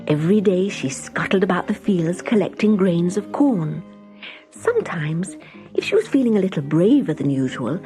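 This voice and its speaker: A woman reading a scripted children's story as an expressive storyteller. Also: fairly low pitch.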